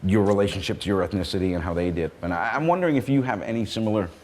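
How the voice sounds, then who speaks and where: A middle-aged man hosting a talk panel, asking his guests quick and lively questions. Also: lowish voice. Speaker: host. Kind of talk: interviewing guests.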